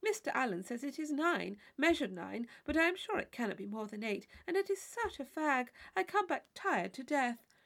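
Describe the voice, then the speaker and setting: Woman acting a high-pitched, fussy chattering lady. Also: very animated, moderate pace, gravelly, fairly bright tone, heavily acted. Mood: chatty. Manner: gushing, rambling, easily impressed. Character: fussy, chatty married lady, dress-obsessed. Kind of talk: audiobook character dialogue.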